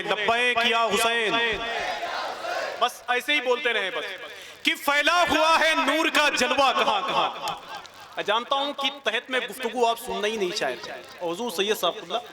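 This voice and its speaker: A man compèring a religious gathering. He talks to the audience between recited devotional couplets, and he chants each couplet loud, high and drawn out with repetitions. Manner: addresses the crowd directly with coaxing asides. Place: live religious gathering with a crowd.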